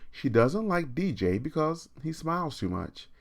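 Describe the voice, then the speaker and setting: A man hosting a livestreamed storytime, chatting informally and reading a children's book aloud with animated, encouraging delivery. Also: a little high, measured pace, gravelly.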